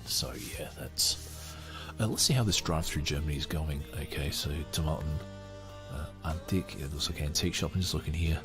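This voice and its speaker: A man hosting a podcast, reading a book aloud and breaking off to comment, animated, laughing often, with lots of fillers and restarts. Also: a bass voice, fairly quick, over music. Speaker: podcast host.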